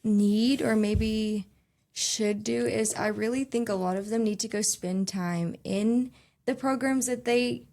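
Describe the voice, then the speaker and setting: A young woman speaks with an earnest, chatty flow, quick and full of little restarts and fillers. Her voice sits at an ordinary middle pitch for a woman, and she talks as an interview guest. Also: fairly even intonation, gravelly, warm tone. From Texas. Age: young adult. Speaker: guest, youth advocate. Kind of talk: casual interview conversation.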